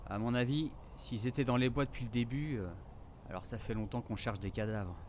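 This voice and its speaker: An actor playing a teasing, affectionate forest ranger in a naturalistic audio drama, with casual, slangy delivery. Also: male voice, mid-range voice, fairly quick, darkish tone, a little hiss or hum, lightly acted. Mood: subdued, serious.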